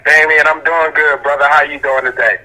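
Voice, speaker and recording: A man's voice: fairly high pitch, fairly even intonation, fairly quick, sharp tone, poor audio. A man in his forties chatting casually as a sports podcast guest, laid-back and slangy. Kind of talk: casual chat.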